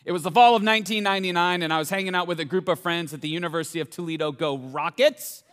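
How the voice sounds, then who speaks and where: A pastor in his forties preaching a sermon, energetic and joking, full of rhetorical questions. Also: high-pitched, fast talker, clear, resonant tone. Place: large church auditorium. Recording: echoey church hall.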